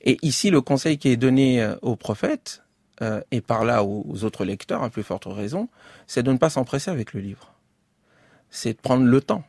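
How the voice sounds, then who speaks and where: A man's voice: medium pitch, lively intonation, moderate pace, creaky, a little mellow, no echo. Hesitant French-speaking man, dry studio sound. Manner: hesitant, many fillers and restarts. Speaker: author and guest. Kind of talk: measured interview talk.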